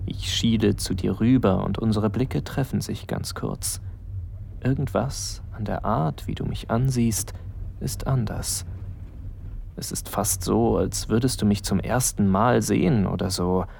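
A man's voice: fairly low pitch, a little slow, creaky, a little mellow, a little hiss or hum. An actor playing a smitten young male best friend, narrating an intimate audio drama in the first person, musing and addressing the listener as you. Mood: tipsy, flustered.

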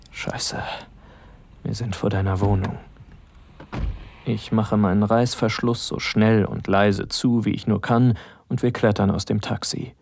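Breathy, slow, yearning male lover. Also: lowish voice. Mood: tense, aroused. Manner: breathy, hushed, often dropping into whispers. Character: aroused, hesitant young man falling for his best friend. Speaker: audio story narrator and actor. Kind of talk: intimate first-person audio drama narration.

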